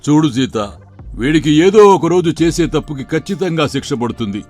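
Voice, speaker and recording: Man playing a stern, worried father, higher-pitched. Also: animated, brisk, a little mellow, faint music behind, close to an everyday voice. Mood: alarmed, scolding. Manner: scolding, questioning. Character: stern, honest, worried father of grown sons.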